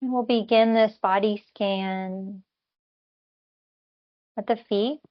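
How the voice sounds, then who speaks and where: A woman leading a guided body scan meditation as a teacher, speaking in gentle, unhurried instructions with long pauses. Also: medium pitch, steady tone, highs cut off.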